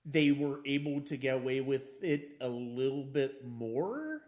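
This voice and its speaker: Guy hosting a movie podcast, really slow talker, halting, keeps circling back over his words. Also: mid-range voice, lively intonation, a little mellow. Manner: halting, repeats phrases while thinking. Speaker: podcast host. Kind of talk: casual film discussion.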